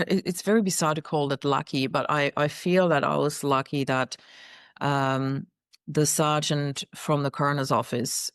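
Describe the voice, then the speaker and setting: Gravelly woman, dry sound. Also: sits low, dry recording. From Austria. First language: German. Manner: earnest, recounting in vivid detail. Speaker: guest. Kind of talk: personal storytelling in an interview.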